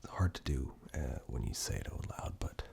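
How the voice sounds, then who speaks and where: A man with an extremely low, crackling voice performs ASMR roleplay. He drifts between a murmur and a breathy whisper, close to the microphone. His delivery is unhurried and reassuring, as if looking after the listener one to one. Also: animated, darkish tone. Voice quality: breathy whisper mixed with very deep, gravelly murmur. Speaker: ASMR performer playing caregiver and eye examiner roles. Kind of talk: soft-spoken ASMR roleplay, half whispered. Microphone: close binaural microphone.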